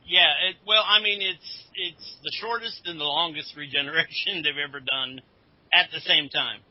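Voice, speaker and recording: A man co-hosting a podcast in casual chat, with a halting, hedging delivery. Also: fairly high pitch, dry recording. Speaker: podcast co-host. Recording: dull lo-fi podcast audio.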